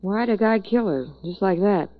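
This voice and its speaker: An actress playing a wary, flirtatious, tough-talking dame in a 1940s radio noir drama, cool and insinuating. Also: female voice, medium pitch, animated, normal speed, natural voice. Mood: guarded. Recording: muffled vintage radio recording.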